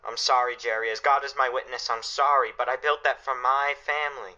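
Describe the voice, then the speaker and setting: Stern family protector played by a man, radio play: lower-pitched, intensely forceful, grave, emphatic speeches. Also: fairly even intonation, a little fast, highs cut off. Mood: firm, curt. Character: stern, anguished father guarding his family's shelter. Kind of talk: dramatic radio play acting.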